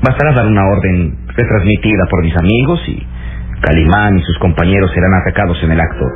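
An actor playing a sly, smug underworld informant, speaking with measured deference and sly relish in an old radio serial. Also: male voice, low voice, slight background noise, close to an everyday voice. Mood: smug, menacing. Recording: tinny band-limited old radio serial, music.

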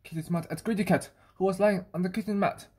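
Husky woman reading a children's picture book. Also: mid-range voice, fairly even intonation, fast, warm tone. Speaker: storybook reader.

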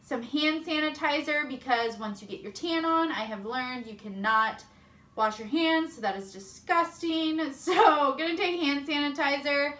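A woman's voice: high-pitched, measured pace, fairly bright tone. A young woman chatting casually to camera as a fitness vlogger, upbeat and informal, recorded in a room at home. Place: indoors at home, kitchen and bedroom. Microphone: camera or phone a short distance away. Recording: roomy echo of home interior.

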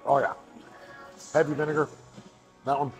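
A man's voice: on the high side, level tone, normal speed. A man in his thirties giving a casual, upbeat food review while eating in a barbecue restaurant. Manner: upbeat, plainspoken, enthusiastic about food. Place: busy barbecue restaurant, indoors. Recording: background music audible.

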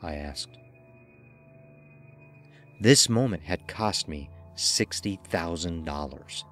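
Low-voiced, cold, ruthless killer narrator. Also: male voice. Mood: grimly matter-of-fact. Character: cold, ruthless dying rich gambler confessing murder. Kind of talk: dramatic first-person horror audio narration.

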